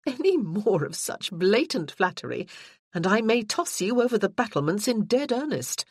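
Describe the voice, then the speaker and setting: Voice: mid-range voice, very animated, husky, no room sound, voice pushed up. Reader doing a spirited, haughty young lady in a period romance audiobook; dry studio sound. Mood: laughing protest. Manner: haughty, quick to tease back. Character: proud spirited young noblewoman. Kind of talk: audiobook character voicing.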